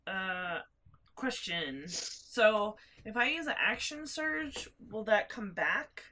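A woman playing in a tabletop game, hesitant and giggly, with many pauses and fillers. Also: medium pitch, expressive, bright, forceful tone, a little hiss or hum, rough recording. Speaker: player. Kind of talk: casual tabletop play.

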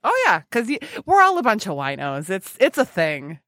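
A woman podcast host with very lively, swooping intonation and a dry, close sound. She chats casually and playfully, gushing a little as she banters with her guest. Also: medium pitch, moderate pace, no room sound. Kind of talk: casual chatty podcast interview.

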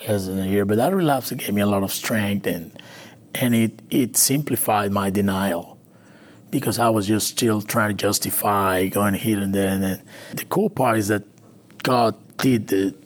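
A man from Venezuela, a native Spanish speaker with a Latin American accent, telling his recovery story haltingly in an interview. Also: on the low side. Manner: halting, with frequent restarts and fillers. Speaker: interview guest. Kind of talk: personal recovery story, conversational.

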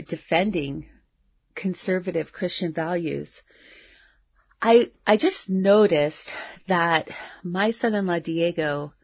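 An American woman in her fifties speaks in a fairly low register with a husky edge. She delivers a personal podcast monologue, earnest and emphatic. The recording is somewhat roomy. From South Florida, United States. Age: 50s. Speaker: podcast host. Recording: dull, roomy, echoey sound.

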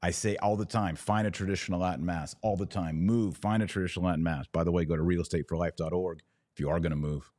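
An American man hosting a podcast, giving religious commentary off the cuff in an emphatic, preachy way with deliberate pauses and repetition. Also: sits low, fairly even intonation, darkish tone, no echo, studio quality. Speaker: podcast host.

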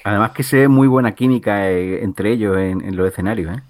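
A man's voice: on the low side, brisk, dry recording, clean studio sound. A man chatting casually, interjecting with assertive opinions. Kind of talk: casual chat.

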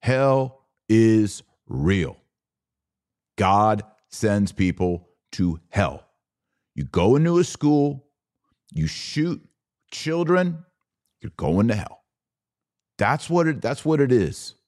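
A man's voice: fairly low pitch, big pitch swings, takes it slowly, long pauses, darkish tone. A man hosting a podcast, giving a blunt, informal religious commentary in an emphatic, preachy way. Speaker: podcast host.

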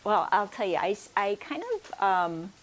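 A soft-spoken woman giving a conference workshop talk into a microphone, hesitant, with many restarts and check-ins with the audience. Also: mid-range voice, lively intonation, normal speed, slight background noise. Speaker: workshop speaker. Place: conference room. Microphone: handheld or stand microphone.

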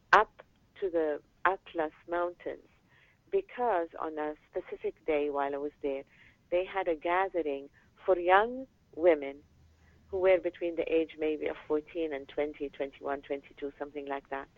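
A woman's voice: low-pitched, dry recording. Woman from Lebanon on a phone line. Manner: measured, with frequent pauses and fillers. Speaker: interview guest, education advocate. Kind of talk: recorded interview answers. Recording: tinny phone line, dry.

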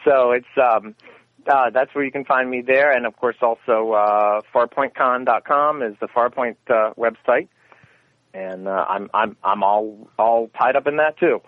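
A man's voice: medium pitch, unhurried, no room sound. Man on a tinny phone line. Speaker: interview guest, radio theatre producer. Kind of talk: casual interview.

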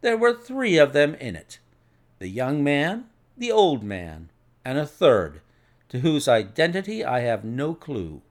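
A man voicing a cool, methodical detective in an audiobook, measured, precise and authoritative. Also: on the high side, wildly swooping pitch. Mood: assured, explanatory. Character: cool, methodical male detective, assured and analytical.

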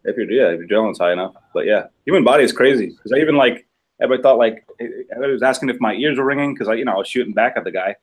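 A man in his ordinary middle pitch talking casually. He rambles through his story in a relaxed, unhurried way, full of "like" and "you know". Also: breathy edge. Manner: laid-back, rambling storytelling with many fillers. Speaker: police officer guest. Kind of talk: casual chat.

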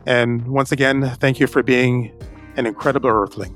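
Man, middle pitch, podcast host, addressing guest and listeners, faint music behind. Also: fairly even intonation, normal speed, a bit bright. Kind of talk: podcast hosting and scripted outro.

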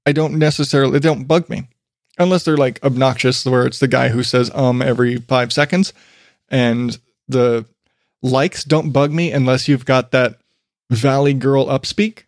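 Solo podcast host, male, rambling and self-mocking, middle-pitched, dry studio mic. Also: no echo. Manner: rambling, self-deprecating, laughs often.

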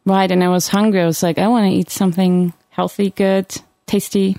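A woman co-hosting a podcast in a chatty, upbeat way, quick to laugh along. Her voice sits at a medium pitch and sounds close and dry, with no room around it. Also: little pitch movement, creaky, dark tone, no echo. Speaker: podcast co-host. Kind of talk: casual chat.

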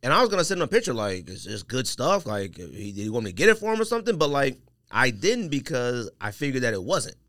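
A man's voice: medium pitch, sing-song, fast talker, fairly bright tone, no room sound, clean studio sound. An American man hosting a casual podcast, telling stories and asking questions in slangy speech. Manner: slangy, inquisitive storytelling. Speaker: podcast host.

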